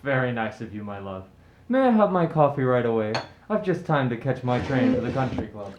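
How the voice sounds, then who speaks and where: Self-absorbed upper-class father played by a man, radio drama, offhand bluster, mid pitch, roomy echoing recording. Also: sing-song, brisk, dull audio, lightly acted. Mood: breezy. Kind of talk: scripted radio play acting. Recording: roomy echo, faint background noise.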